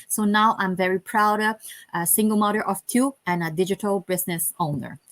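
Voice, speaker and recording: A woman's voice: mid-range voice, a bit bright. Hong Kong-born woman hosting an interview. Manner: friendly, halting, with fillers. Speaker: interview host.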